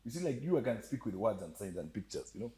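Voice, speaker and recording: A man with a slight Ugandan accent telling stories as a podcast guest, animated and joking, often laughing. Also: lowish voice, fast talker, slight background noise. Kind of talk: storytelling interview chat.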